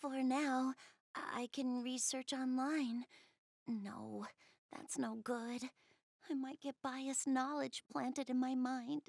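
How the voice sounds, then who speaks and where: A woman's voice: high voice, steady tone, takes it slowly, gravelly, darkish tone, heavily acted. A woman voicing a shy, bookish young goddess in a video game, speaking hesitantly and earnestly. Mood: pensive. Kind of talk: video game character voice acting, intimate one-to-one dialogue.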